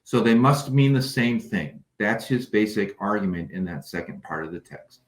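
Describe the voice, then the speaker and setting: Male academic guest, native English speaker, low register, careful and precise delivery, echoey room. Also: animated, moderate pace. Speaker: Hebrew scholar, interview guest. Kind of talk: scholarly explanation, sometimes reading aloud. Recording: echoey, roomy sound.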